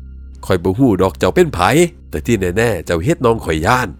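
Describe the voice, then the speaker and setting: A protective, defiant young man as a male storyteller plays him stands his ground in a horror tale. His firm voice is raised in pitch, with a northeastern Thai accent and faint music behind. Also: expressive, very slow, mellow. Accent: northeastern Thai (Isan). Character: brave, protective older-brother youth with a sacred knife.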